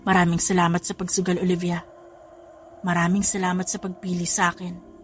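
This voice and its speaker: A woman's voice: fairly low pitch, level tone, fairly quick, lightly acted. A tender vampire nobleman, a young husband who is earnest and playfully teasing, acted by a woman narrator. The delivery is romantic audio drama, with music playing underneath. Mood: tender, devoted. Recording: music behind the voice.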